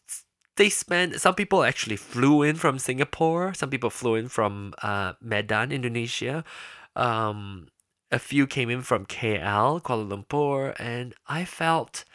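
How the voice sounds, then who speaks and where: A man's voice: medium pitch, pushed and bright, no room sound, studio quality. Rambling man hosting a podcast. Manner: rambling, many restarts and fillers. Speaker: podcast host. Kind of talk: casual solo podcast talk.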